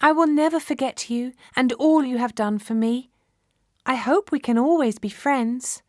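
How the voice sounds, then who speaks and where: A woman's voice: high voice, normal speed, no echo, clean studio sound, voice pushed up, natural voice. A woman voicing a grateful, caring male swallow in a fairy tale, speaking in an earnest, tender way.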